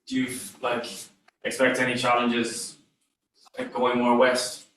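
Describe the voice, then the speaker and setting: A man's voice: medium pitch, completely flat delivery, takes it slowly, fairly bright tone, a little hiss or hum. A man on a video call asking a presenter polite, hesitant questions. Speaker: investor panelist asking questions. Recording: echoey far-off call audio.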